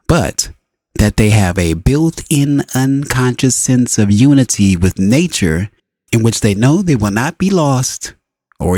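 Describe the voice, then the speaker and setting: Male audiobook reader, spiritual nonfiction. Animated pitch movement, deliberate emphatic phrasing, dry close-miked sound. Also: no room sound. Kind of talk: scripted spiritual book narration.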